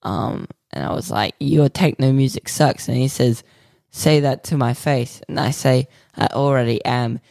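A man co-hosting a podcast, rambling through absurd improvised stories in a deadpan way with lots of fillers. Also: medium pitch, vocal fry, dry recording, clean studio sound. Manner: slow, rambling, deadpan nonsense with many fillers. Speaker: podcast co-host.

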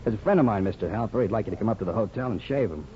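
A man's voice: on the low side, animated, very fast, dark tone, lightly acted. An actor playing a slick gangster henchman, polite but pressing, in a 1950s radio drama. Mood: smooth, insistent. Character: slick, deferential gangster henchman, adult man. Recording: muffled 1950s radio broadcast.

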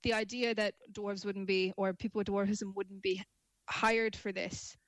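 A woman's voice: mid-range voice, little pitch movement, a little slow, dry recording. A woman speaking as a panel guest, articulate and reflective, often framing her points as questions. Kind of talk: discussion, opinion.

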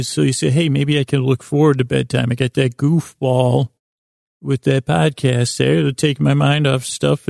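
A man hosting a bedtime podcast, rambling in soothing, lulling tones, halting and easily distracted as he goes. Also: medium pitch, vocal fry, a little mellow, no room sound, studio quality. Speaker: podcast host.

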